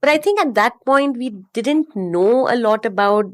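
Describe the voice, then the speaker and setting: A middle-aged woman from Mumbai with an Indian English accent, chatting as an interview guest, often pausing with fillers and soft laughs. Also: on the high side, lively intonation. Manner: warm, hesitant, many fillers and soft laughs. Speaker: guest, connection coach. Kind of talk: casual interview conversation.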